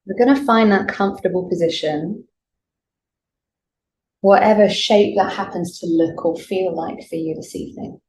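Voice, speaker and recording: A woman teaching yoga nidra, leading a guided meditation in a gentle, soothing, unhurried way with pauses. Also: mid-range voice, low-quality recording. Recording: clear background noise, room ambience.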